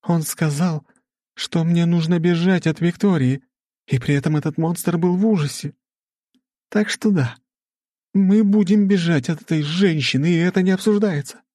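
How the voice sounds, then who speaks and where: Man acting a bossy demoness wife, raised high pitch, soft mellow tone, exasperated scolding, audiobook character. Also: normal speed, no echo, studio quality, close to an everyday voice. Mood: urgent, insistent. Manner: emphatic, scolding, commanding.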